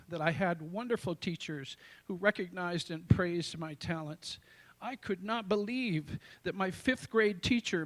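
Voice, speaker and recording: Higher-pitched older American man reciting poetry. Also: rough recording. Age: 60s. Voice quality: tenor voice. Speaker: amateur poet. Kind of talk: reciting own poetry to a live audience.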